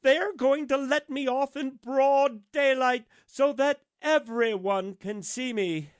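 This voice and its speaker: A man's voice: very high voice, slow pace, clear, resonant tone, no room sound, pitched above the performer's own voice. A man voicing a conceited, self-important male firework rocket in a storybook reading. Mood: boastful.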